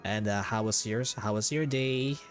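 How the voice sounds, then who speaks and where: A man with a low voice and a Filipino accent chats casually to his stream. He speaks in halting bursts, circling back to repeat phrases, with faint game music playing behind him. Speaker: game livestreamer. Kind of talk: casual livestream chat. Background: video game music.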